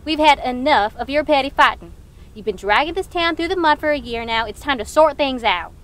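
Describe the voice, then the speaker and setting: A woman's voice: high-pitched, expressive, brisk, a bit bright. A woman playing a blunt, skeptical Wild West rider in an audio drama. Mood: firm. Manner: blunt, impatient. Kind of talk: western audio drama acting.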